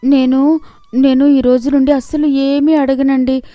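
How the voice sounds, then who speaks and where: A woman's voice: sits high, level tone, unhurried, dark tone, no room sound, clean studio sound, faint music behind, voice pushed up. A woman voicing a meek young daughter-in-law in a moral story, pleading and hesitant.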